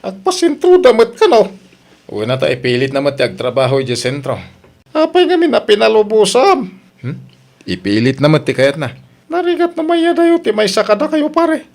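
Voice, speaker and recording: A man playing a skeptical, teasing Ilocano husband in a radio comedy drama, with an Ilocano Filipino accent and an animated, mocking manner. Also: sits high, normal speed, gravelly, full character voice.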